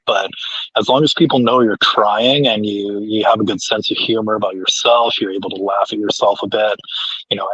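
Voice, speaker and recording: Husky low-voiced man. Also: no room sound, lo-fi sound. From Canada. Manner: relaxed, rambling with frequent fillers and restarts. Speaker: guest. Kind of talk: casual chat.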